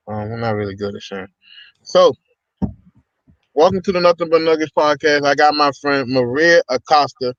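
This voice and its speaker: A man's voice: on the high side, no room sound, muffled recording. A man hosting a casual livestream podcast, welcoming viewers with upbeat energy and lots of ums and uhs. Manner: upbeat, hype-style welcomes with many fillers. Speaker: podcast host.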